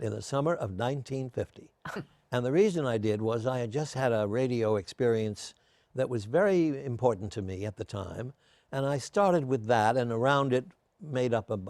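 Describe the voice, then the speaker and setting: An older man, a guest in a casual interview, telling genial, self-deprecating stories while his voice is going hoarse. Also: mid-range voice, darkish tone, no echo.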